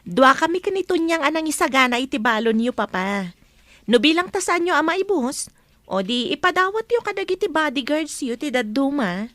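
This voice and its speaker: Loving young daughter, played by a woman, very high, sweet, respectful, dry close sound, audio drama. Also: big pitch swings, normal speed, fairly bright tone, no echo. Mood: attentive. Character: affectionate, proud grown daughter of a governor. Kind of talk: Ilocano audio drama acting.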